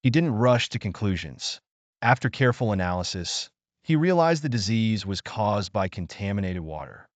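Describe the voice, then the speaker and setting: A man tells a children's bedtime story as a scripted narration. His lowish voice moves expressively up and down with measured phrasing, and the sound is close and dry with no room on it. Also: big pitch swings, dry recording.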